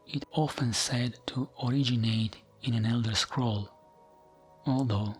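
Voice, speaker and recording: Slow, soft-spoken man reading ASMR lore. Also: mid-range voice. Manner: gentle, soothing, measured with frequent pauses. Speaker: narrator of gaming lore videos. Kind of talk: ASMR bedtime reading of game lore, scripted narration. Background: background music.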